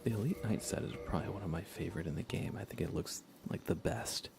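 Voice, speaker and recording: A man with a deep voice full of vocal fry chats casually while playing a game on a livestream. His delivery is soft ASMR, often dropping to a near-whisper. Clear background noise and ambience sit behind him. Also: fast talker. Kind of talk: soft ASMR gaming commentary, casual chat.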